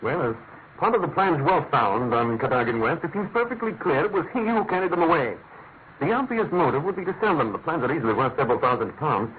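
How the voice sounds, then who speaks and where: A man acts out a courteous, keenly analytical British gentleman detective in a classic radio play. His pitch is a touch high and moves freely as he reasons with crisp self-assurance. The recording is narrow and tinny, with some hiss. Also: animated, lightly acted. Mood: reasoning. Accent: British English. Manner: brisk, articulate, deliberate reasoning.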